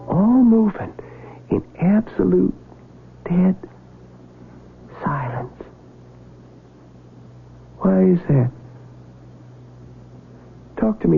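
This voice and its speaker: An actor playing a nervous, polite young Civil War soldier in a radio drama, with a creaky American voice and folksy, halting, stammering speech. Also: male voice, high voice, exaggerated voice. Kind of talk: 1970s radio drama acting. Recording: narrow, tinny vintage radio broadcast, music behind.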